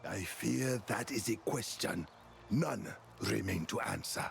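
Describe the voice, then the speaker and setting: A solemn keeper of ancient secrets in a fantasy game. The voice is slow and weighty, with a lot of rise and fall and a gravelly edge. Each phrase comes out measured and portentous. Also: male voice, fairly low pitch, expressive, faint music behind, exaggerated voice. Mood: grave, foreboding. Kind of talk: video game dialogue acting.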